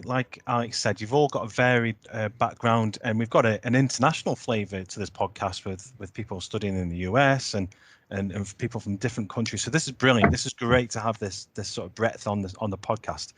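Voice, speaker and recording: A man's voice: fairly low pitch, brisk, noisy background. Enthusiastic man hosting a podcast. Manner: enthusiastic, repeats words. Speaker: podcast host.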